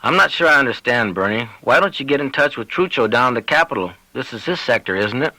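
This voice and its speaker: A man voicing a plain-spoken state cop in an audiobook, offhand and a little slow to grasp things. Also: mid-range voice, expressive, pushed and bright, no echo, exaggerated voice, poor audio. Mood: unimpressed. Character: unconcerned, slightly slow male state cop.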